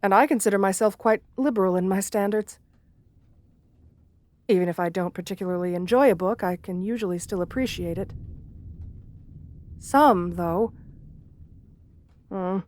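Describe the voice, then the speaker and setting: A woman's voice: mid-range voice, moderate pace, long pauses, a little mellow, lightly acted. A woman playing a shy, sweet, bookish gargoyle in a romantic ASMR roleplay, speaking hesitantly with polite, old-fashioned formality. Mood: gentle, wistful.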